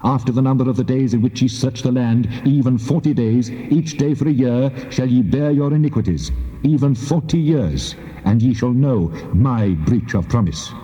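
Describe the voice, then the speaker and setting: Man acting a dark-voiced God in vast reverb. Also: medium pitch, close to an everyday voice. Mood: stern, condemning. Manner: measured, grave, declamatory. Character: God, a stern, solemn male deity giving law. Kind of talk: dramatized scripture reading. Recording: dull tape with long hall reverb.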